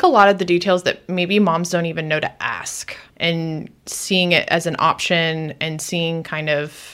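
A woman's voice: low voice, a bit bright, clean tone. A woman hosting a podcast conversation, talking in an informal, loosely phrased way. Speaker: podcast host. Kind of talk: conversational interviewing.

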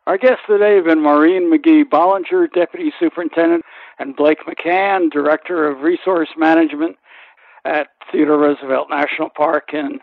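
A man hosting a conversational radio interview, putting polite, halting questions, heard through a narrow-band channel. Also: on the high side, steady tone, no room sound.

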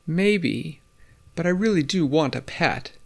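A man voicing a kindly, lonely old woman who talks gently and a little wistfully. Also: on the high side, lively intonation, takes it slowly, creaky, pitched above the performer's own voice, full character voice. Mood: pleased.

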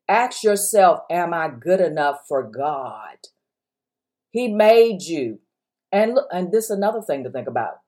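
A woman's voice: mid-range voice, a little mellow. A woman pastor with a strong voice, preaching an encouraging sermon on a podcast and exhorting her listeners with repeated phrases.

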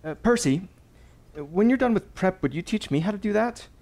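A man's voice: sits high, expressive, normal speed, darkish tone. A man acting in a fiction podcast as a vulnerable, earnest character with a tentative way of speaking.

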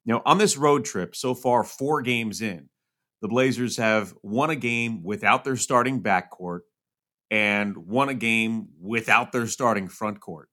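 A man's voice: on the low side, unhurried, pushed and bright. A man hosting a sports podcast, giving conversational commentary on games and teams.

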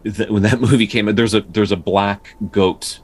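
Male podcast co-host, very low voice, casual but studious folklore explainer with a halting, word-repeating delivery. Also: steady tone. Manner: halting, with repeated words and stutters. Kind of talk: casual explanatory discussion of folktales.

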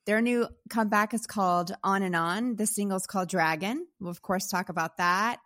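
Woman, mid pitch, dry recording, upbeat co-host, slight cold. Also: normal speed, fairly bright tone, no room sound. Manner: upbeat, runs down the episode rundown. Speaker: podcast co-host. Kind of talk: casual podcast chat. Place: New York.

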